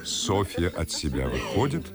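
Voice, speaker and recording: A man's voice: sits low, big pitch swings, a little slow. A man narrating a radio play, announcing stage directions in a scripted, dramatic style. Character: male narrator announcing a ball scene. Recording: crowd murmur behind.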